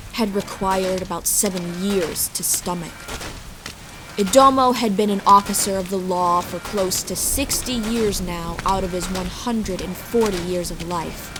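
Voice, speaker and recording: A woman reading scripted fantasy story narration as the narrator. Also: medium pitch. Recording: steady rain and storm ambience behind.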